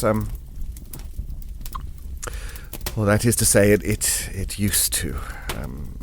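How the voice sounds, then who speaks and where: A man's voice: lowish voice, slight background noise. An actor playing a genteel older Englishman, telling a ghost story in an audio drama in a hesitant, digressive, politely formal and wistful way.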